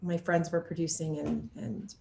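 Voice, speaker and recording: An American woman in a casual interview chat, animated and giggly, often acting out her own reactions. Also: deep voice, moderate pace, creaky. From Seattle, Washington, USA. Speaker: interview guest, actor. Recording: roomy, echoey sound.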